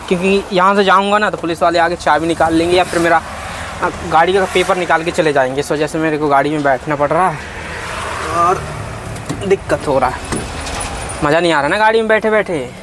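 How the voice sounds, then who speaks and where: A man, chatting casually and informally to viewers as a truck-driver vlogger. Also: on the high side. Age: 20s. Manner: informal, addresses viewers as brother. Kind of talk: casual vlog chat to viewers. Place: parked truck cab and roadside outdoors. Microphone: phone at arm's length. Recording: clear traffic and vehicle noise. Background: vehicles and urban street ambience.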